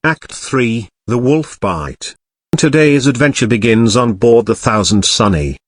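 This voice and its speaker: A man's voice: mid-range voice, animated, measured pace, dry recording. Man narrating, close dry sound. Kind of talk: scripted audio drama narration.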